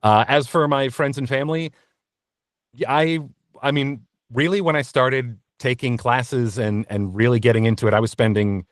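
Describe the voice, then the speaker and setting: A man answering interview questions candidly as a voice actor, in an earnest, reflective and halting conversational manner. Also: mid-range voice, steady tone, no echo, studio quality. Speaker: interview guest, voice actor and narrator.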